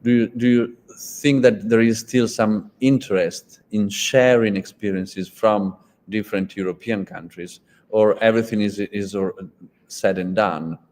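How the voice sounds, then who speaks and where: An Italian-speaking man interviewing a guest in English, halting and restarting often, with many fillers. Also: on the low side, fairly even intonation, darkish tone. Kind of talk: conversational interview.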